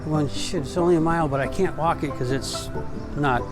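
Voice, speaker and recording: A man's voice: a little high, a little fast, music in the background. A man hosting a casual travel vlog, talking to viewers in a chatty, digressive, rambling way. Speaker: travel vlogger and host.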